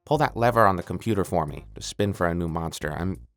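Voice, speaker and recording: A man's voice: low-pitched, fast talker, natural voice. A man playing a self-pitying, petulant evil mad scientist in a comedic audio skit, stammering and melodramatic. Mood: deflated, bitter. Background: creepy music.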